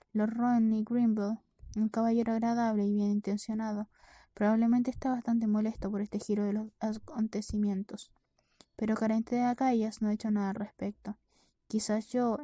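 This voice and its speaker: A woman's voice: on the high side, warm tone, no echo, clean studio sound. A woman reading a first-person novel aloud as an audiobook narrator, in a scripted storytelling style with the occasional small stumble. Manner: reads with occasional stumbles and self-corrections. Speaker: audiobook reader.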